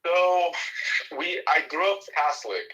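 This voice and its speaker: A man's voice: fairly high pitch, expressive, unhurried, pushed and bright, highs cut off. A man from Mexico, a native Spanish speaker with a faint Mexican accent, chatting on a video call with friendly, stammering restarts. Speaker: video chat participant. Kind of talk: casual video chat.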